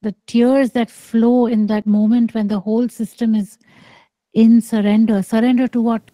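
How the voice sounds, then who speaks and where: A middle-aged woman giving spiritual teaching on a video call. She speaks softly and deliberately, with long pauses. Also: fairly high pitch, little pitch movement, dry recording. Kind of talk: slow contemplative spiritual teaching. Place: small room indoors. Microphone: close microphone on a video call.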